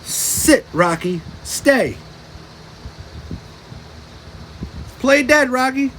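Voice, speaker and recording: A man's voice: extremely high pitch, lively intonation, slow pace, fairly bright tone, slight background noise, voice pushed up, heavily acted, poor audio. A snooty male cartoon sea creature, played by a man reading a storybook, smug and disdainful. He sneers and boasts with exaggerated, swinging intonation. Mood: commanding.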